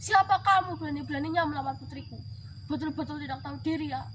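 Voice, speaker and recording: Storybook regent, played by a woman: haughty, enraged, high-voiced, barking indignant orders over clear background noise. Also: fast talker, fairly bright tone. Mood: furious, commanding. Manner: indignant, commanding, scolding. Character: furious, haughty male regent scolding a lowly servant. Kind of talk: dramatic storytelling character voice.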